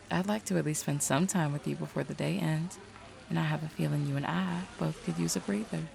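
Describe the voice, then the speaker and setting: Actress as streetwise female detective with an uncanny side, audio drama. Very gravelly, mid-low for a woman, drawling and teasing. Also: a little mellow. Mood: flirty, teasing. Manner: drawling, teasing, folksy slang. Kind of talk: audio roleplay acting.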